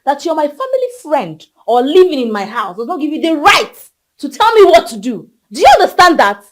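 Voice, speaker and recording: A woman's voice: sits high, sing-song, fairly quick, slight background noise. An actress playing a brash, haughty young Nigerian woman in a film drama, confrontational and slipping into Pidgin. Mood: furious, threatening. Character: brash, gloating young woman pregnant by a king.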